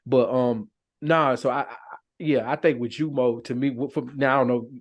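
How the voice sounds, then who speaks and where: A man's voice: medium pitch, steady tone, normal speed. A man speaking casually on a podcast, explaining his thoughts in a loose, rambling, conversational way. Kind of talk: casual chat.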